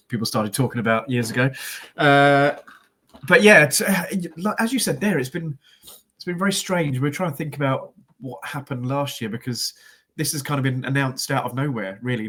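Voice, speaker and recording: A man's voice: medium pitch, a bit bright. A man with a cold and a congested voice, chatting in a rambling way with lots of ums on a football podcast. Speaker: podcast co-host. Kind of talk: casual football podcast chat.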